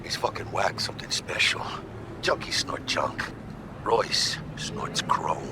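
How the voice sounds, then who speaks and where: A brash, jovial street fighter. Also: male voice, rumbling low, slow pace, gravelly, heavy background noise, full character voice. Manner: lively, animated, with Spanish slang. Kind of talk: video game voice acting.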